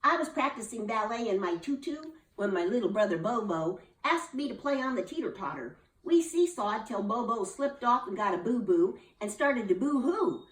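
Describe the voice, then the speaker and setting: A woman's voice: a little high, animated, moderate pace, hardly any pauses, distant mic, pitched above the performer's own voice, natural voice. A woman voicing a chirpy young schoolgirl with a breathless, sing-song manner. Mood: nervous. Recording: roomy, from off the mic.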